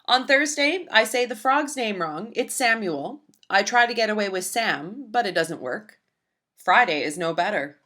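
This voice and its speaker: Woman as an earnest shy schoolgirl, animated intonation. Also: medium pitch, a little slow. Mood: wistful, earnest. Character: earnest, shy young schoolgirl telling her own story.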